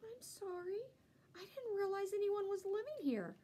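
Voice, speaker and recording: Woman as a high-pitched, eager, friendly storybook dog. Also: animated, moderate pace, warm tone, slight background noise. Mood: apologetic. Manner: sweet, earnest, chatty. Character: friendly, eager, chatty female shaggy red dog. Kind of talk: children's storybook character reading.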